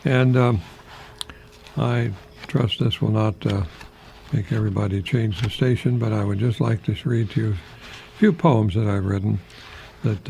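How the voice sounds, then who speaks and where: An older man hosting a radio monologue, speaking haltingly in a whimsical, self-deprecating way with a husky, gravelly voice. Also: lowish voice, warm tone, a little hiss or hum. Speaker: radio host, retired professor. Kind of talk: rambling humorous radio monologue with poetry readings.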